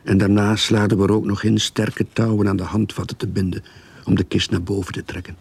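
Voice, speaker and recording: An actor playing a haunted grave robber, narrating his grim tale in a husky, gravelly voice in a radio play. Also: on the low side, flat delivery, brisk, darkish tone, full character voice. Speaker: first-person narrator. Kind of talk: dramatic first-person radio play narration.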